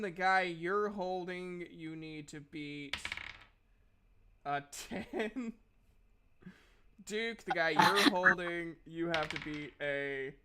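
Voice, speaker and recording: A man's voice: high voice, noisy background. A man narrating and refereeing a tabletop fantasy game as game master in an informal, casually sweary way.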